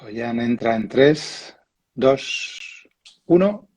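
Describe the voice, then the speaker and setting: Unhurried husky-voiced male host. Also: medium pitch, animated, a little mellow. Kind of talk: conversational online interview. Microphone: video call.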